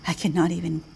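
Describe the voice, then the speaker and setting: Over clear ambient noise, an older woman scientist with a lowish voice. She is grave and measured, delivering solemn warnings in a video game drama. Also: darkish tone. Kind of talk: video game cutscene acting.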